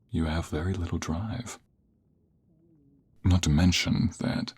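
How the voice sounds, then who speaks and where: A man acts out a cocky, flirtatious office rival for an ASMR roleplay. His voice is extremely deep and very husky, often sinking toward a breathy whisper. He takes his time, drawing out each taunt slowly and deliberately. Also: full character voice. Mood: challenging, sly. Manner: slow, deliberate, taunting, drawn-out phrases. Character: smug suited office rival, teasing, aggressively flirting. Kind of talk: ASMR romantic roleplay, intimate second-person acting.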